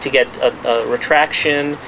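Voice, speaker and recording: A man's voice: mid-range voice, fairly even intonation, moderate pace. A man, a journalist, speaking off the cuff at a press conference in a restaurant, restarting and repeating words as he makes his points. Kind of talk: spontaneous press-conference talk. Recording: clear background noise.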